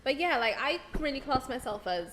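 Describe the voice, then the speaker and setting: A woman with a British accent hosting a casual chat, talkative and quick to laugh. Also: high-pitched, very animated, a bit bright, a little hiss or hum. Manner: chatty, laughs often, plays with voices. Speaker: host.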